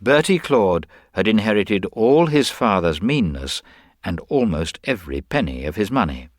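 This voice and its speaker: A man's voice: low-pitched, no room sound. A man giving a scripted story narration with dry wit, as the narrator of a tale.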